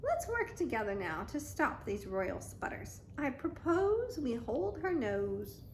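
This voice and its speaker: A little storybook mouse, earnest and full of schemes, as a woman reader puts him on. He coaxes in a fairly high voice at a slow, deliberate pace. The figure stays close to a gentle read-aloud delivery. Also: sing-song, echoey room, slight background noise, full character voice. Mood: scheming.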